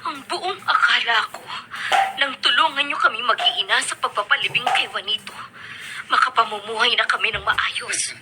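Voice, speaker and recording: A struggling, wronged widow played by an actress in a radio drama. Her voice is high and plaintive, full of feeling, on a noisy recording. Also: normal speed, lightly acted. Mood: hurt, protesting. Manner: emotional, plaintive. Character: aggrieved, struggling widowed mother pleading for her family. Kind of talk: Filipino radio drama acting.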